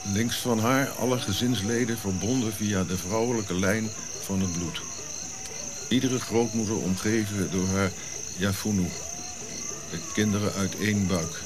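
An actor playing a reflective African prince who recounts his royal youth in measured, eloquent radio drama monologue, pausing as he goes. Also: on the low side, fairly even intonation, background noise, lightly acted. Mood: stately, proud. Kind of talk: audio drama narrative monologue.

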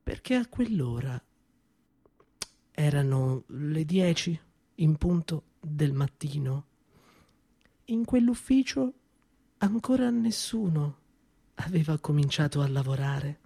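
A man narrating a theatrical monologue in a measured, grave manner with dramatic pauses. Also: a little high, lively intonation, creaky, mellow, no echo. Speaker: storyteller.

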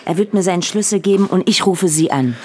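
A woman's voice: on the low side, a little fast, a little mellow, natural voice. A woman playing an uneasy civilian reporting to a contact in a factual, orderly way in a German radio drama. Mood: uneasy, earnest. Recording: vehicle noise behind the voice.

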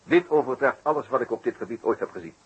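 A man acting the part of a courteous, probing French chief inspector in a Dutch radio drama, speaking in a measured, urbane way. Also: medium pitch, expressive, breathy edge. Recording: dull, noisy 1960s radio recording.

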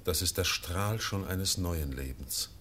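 An actor playing a solemn companion at a deathbed, speaking measured, consoling verse. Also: male voice, low-pitched, a bit bright, slight background noise.

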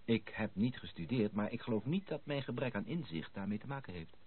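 An actor playing an earnest, sympathetic gentleman with a husky voice and courteous manner in a radio drama. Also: lowish voice, expressive, brisk. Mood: earnest, tactful. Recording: tinny, band-limited old radio recording.

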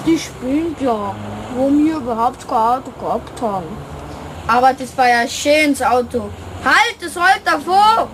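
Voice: high-pitched, lively intonation, measured pace, a bit bright, slight background noise, poor audio. A young performer playing a curious, bossy schoolboy in a children's radio play, speaking plainly and cheekily with a Bavarian accent. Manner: plain-spoken, cheeky, boyish.

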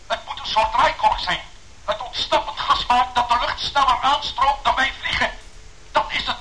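Casting: panicked radio-drama flyer, very high and sharp, urgent exclamations over a radio link. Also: male voice, exaggerated voice, rough recording. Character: desperate male aircrew member in a doomed plane.

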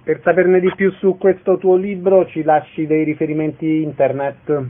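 A man's voice: on the high side, little pitch movement, moderate pace, narrow, tinny audio. A man hosting interviews on the radio, introducing guests and events. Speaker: radio host. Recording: thin band-limited radio sound.

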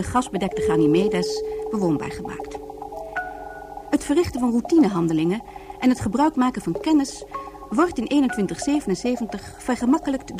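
A woman reading scripted narration for a science fiction radio drama. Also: a little high. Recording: faint music behind voice.

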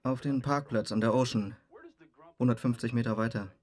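An actor playing a brisk apartment-building receptionist, formal and matter-of-fact, in a radio drama. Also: male voice, medium pitch, steady tone, a little mellow, close to an everyday voice. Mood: dry, businesslike. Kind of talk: naturalistic German radio drama acting.

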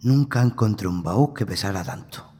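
A man's voice: fairly low pitch, animated, moderate pace, a little mellow, husky. A man playing a curious, chatty haulier's assistant in an audio drama, puzzled and colloquial. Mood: puzzled, grumbling. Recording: long reverb.

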